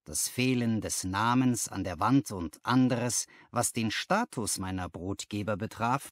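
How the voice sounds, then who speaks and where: A man's voice: medium pitch, no echo, studio quality. A man reading a story aloud as an audiobook narrator, in scripted first-person narration.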